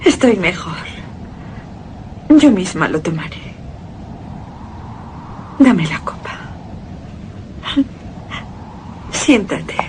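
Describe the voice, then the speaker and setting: An actress playing a sick, frightened wife in a horror radio play, speaking in an anguished, halting, drawn-out way. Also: female voice, lowish voice, big pitch swings, a little mellow, a little hiss or hum. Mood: weak, insistent. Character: frail, sick, frightened young wife hearing eerie sounds. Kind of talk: melodramatic radio drama acting.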